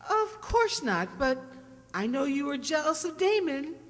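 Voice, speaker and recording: Actress as scheming sitcom wife, doting, jealous, highish voice, animated, old-time radio style. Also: female voice, a little slow. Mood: coy, jealous. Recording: echoey hall.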